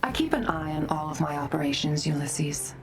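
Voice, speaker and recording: An actress playing a cold, imperious scientist boss in a video game, cutting and condescending in a controlled delivery. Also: female voice, sits low, animated, brisk, recorded from a distance. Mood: icy contempt. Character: cold, imperious female scientist executive villain. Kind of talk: dramatic video game acting. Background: cinematic game music.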